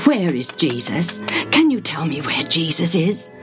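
A woman voicing a distraught, devoted father, a royal official, with urgent, breathy, quivering speech in a storybook reading. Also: deep voice, big pitch swings, a little slow, over music. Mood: desperate. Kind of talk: dramatised Bible storybook reading.

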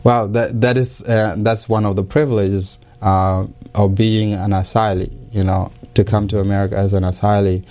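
Low, flat-voiced man with careful speech. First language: not English. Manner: many fillers and restarts, careful, measured. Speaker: interview guest. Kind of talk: hesitant conversational interview answers.

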